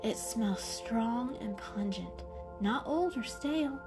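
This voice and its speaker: A woman's voice: a little high, lively intonation, slow pace, over music. A woman voicing a patient, protective adult female cat who coaxes in a controlled, motherly way in an animal storybook reading. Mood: coaxing. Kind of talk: children's animal story character voice.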